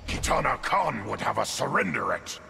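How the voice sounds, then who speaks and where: An actor playing a savage, defiant monster warlord in a video game, with a growling, blunt, menacing delivery. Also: male voice, big pitch swings, moderate pace, exaggerated voice. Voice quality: deep gravelly growl. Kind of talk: video game character dialogue. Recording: loud noise behind voice.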